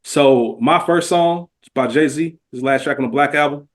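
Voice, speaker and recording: A man's voice: on the high side, normal speed. A man speaking as a podcast guest, casual and heartfelt, with earnest, slangy phrasing that often leans on "man.".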